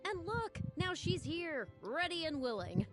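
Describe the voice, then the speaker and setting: A woman's voice: extremely high pitch, very animated, a little slow, full character voice. A woman voice acting a flustered, pleading opera troupe woman in a video game, theatrical and exclamatory. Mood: eager coaxing. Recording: game audio over background music.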